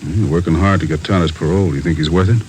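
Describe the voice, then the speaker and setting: A man voicing a stern chief of detectives whose very low voice moves quickly through probing questions. Faint noise sits behind him. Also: darkish tone, lightly acted, poor audio.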